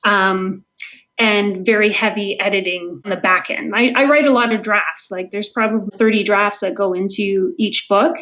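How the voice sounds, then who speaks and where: A woman's voice: mid-range voice, fairly even intonation, normal speed, fairly bright tone. A woman with a Canadian accent talking casually and earnestly as a guest author in an interview, thinking aloud as she goes. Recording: dull lo-fi audio.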